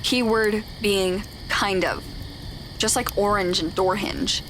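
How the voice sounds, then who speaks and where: A woman playing an authoritative, secretive, dry-humored leader of magical girls in an audio drama, commanding and deadpan. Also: mid-range voice, slow pace, a little hiss or hum, rough recording. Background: music.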